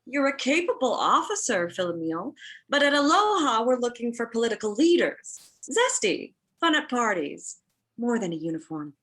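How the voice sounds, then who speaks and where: A woman's voice: a little high, big pitch swings, moderate pace, a bit bright, full character voice. A woman voicing a grand, condescending alien commodore at a comedy sci-fi table read. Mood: breezy, condescending.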